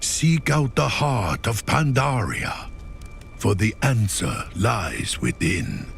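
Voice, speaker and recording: A man voicing a noble, wise Pandaren emperor in a fantasy game story, solemn and resolute in a grand, measured manner. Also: lowish voice, sing-song, gravelly, a bit bright, slight background noise, full character voice. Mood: urgent realization.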